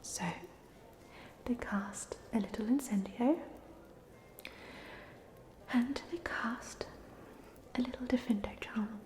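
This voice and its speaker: Woman as a softly whispering, chatty ghost. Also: a little high, slow pace, long pauses. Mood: wry, resigned. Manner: whispered, hesitant, gently rambling. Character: polite, chatty headless ghost of a drowned Hogwarts student. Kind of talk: whispered ASMR roleplay.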